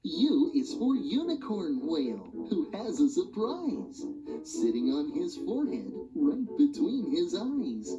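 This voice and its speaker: A woman reading a rhyming children's animal alphabet book aloud in a sing-song, expressive way. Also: lowish voice, slow pace. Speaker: storybook reader. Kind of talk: children's read-along narration. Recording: dull lo-fi audio with music behind.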